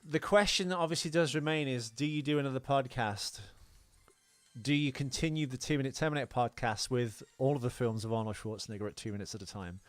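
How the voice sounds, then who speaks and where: A man with a middling pitch co-hosts a casual film podcast. His talk is loose and conversational, with a teasing, bantering edge. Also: quick pace, dry recording, soft background music. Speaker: podcast co-host. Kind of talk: casual film trivia chat.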